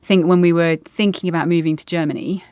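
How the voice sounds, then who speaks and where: Woman in her forties as a reflective interview guest; measured pace, slightly low pitch, dry sound. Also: fairly even intonation, a little mellow, clean tone, dry recording. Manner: thoughtful, measured, pauses with ums. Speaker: podcast guest. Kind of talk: reflective conversation.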